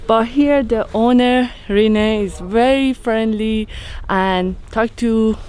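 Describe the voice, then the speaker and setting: Slow, enthusiastic woman vlogging on a trail. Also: on the high side, darkish tone, smooth. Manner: enthusiastic, exclaims at views. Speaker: travel vlogger. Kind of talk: casual vlog narration while hiking. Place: outdoors on a mountain trail.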